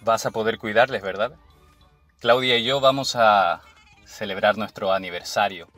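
A man's voice: on the low side, moderate pace, over music, natural voice. A man playing a courteous, concerned married father in a radio drama.

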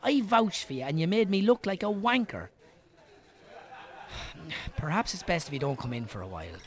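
A man's voice: high-pitched, sing-song, normal speed, crowd noise. An actor playing a firm but concerned man in a naturalistic radio drama. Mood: firm, regretful.

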